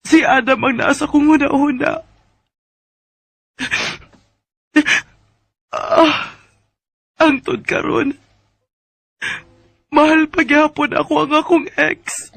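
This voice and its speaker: An actress playing an emotional, reproachful young jilted bride with a Filipino accent in a radio drama, with music underneath. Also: female voice, high voice, steady tone, slow, gravelly, long pauses, fairly bright tone, no echo, exaggerated voice. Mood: apologetic, sad.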